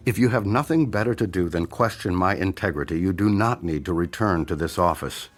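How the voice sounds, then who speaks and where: An actor playing a self-important, defensive hypnotherapist, measured and formal, in a radio drama. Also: male voice, low voice. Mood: indignant.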